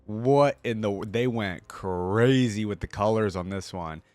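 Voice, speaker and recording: Low-voiced man casually reacting. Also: a little slow, darkish tone, no room sound, faint music behind. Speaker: reaction video host.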